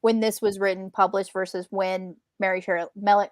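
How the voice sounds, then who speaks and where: A woman talks casually about books as a co-host. Her pitch is average and the sound is dry, with little room echo. She retells things in a chatty, animated way, slipping into quoted asides. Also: normal speed. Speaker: book club co-host. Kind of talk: casual book discussion.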